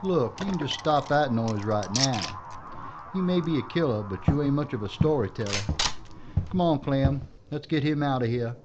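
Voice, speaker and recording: A man plays a rough-edged frontier lawman who cracks dry jokes. His voice has a middle pitch and a gruff drawl. The recording is muffled and lo-fi, buried in loud hiss. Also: animated, moderate pace, close to an everyday voice. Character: gruff, wisecracking male frontier deputy. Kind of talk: Western audio drama acting.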